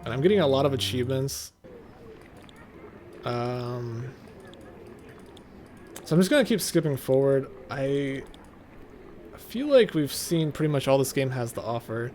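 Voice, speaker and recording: A man's voice: mid-range voice, lively intonation, measured pace, long pauses, a little mellow, a little hiss or hum. A man giving casual, offhand and mildly sardonic commentary as host of a video game playthrough.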